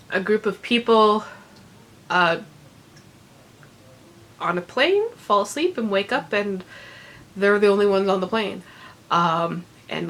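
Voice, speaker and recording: A woman's voice: mid-range voice, a bit bright. A woman chatting casually about books she has read, congested and recovering from illness, rambling with many ums and little laughs. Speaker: book vlogger. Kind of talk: casual unedited book chat.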